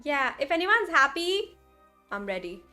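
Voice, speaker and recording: High-voiced excitable young woman streamer. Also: measured pace, soft background music. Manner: excitable, gushing fan, slangy. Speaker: streamer reacting to a game. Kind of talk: casual live reaction commentary.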